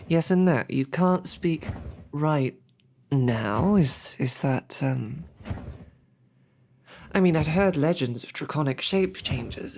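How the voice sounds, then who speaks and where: A woman's voice: deep voice, a little mellow, a little hiss or hum, full character voice. A woman playing a snarky captive lady knight. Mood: puzzled. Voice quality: formal, wordy and wry. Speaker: audio drama performer. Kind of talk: audio roleplay acting.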